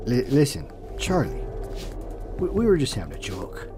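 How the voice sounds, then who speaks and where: A man's voice: mid-range voice, wildly swooping pitch, moderate pace. A man playing a nervous, placating small-town police colleague in an audio drama, speaking in a hesitant stammer. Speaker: voice actor. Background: music.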